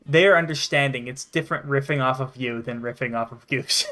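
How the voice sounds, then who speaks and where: A young man giving excitable, sweary, casual commentary as he plays a game. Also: medium pitch, brisk, soft background music. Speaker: gaming channel host.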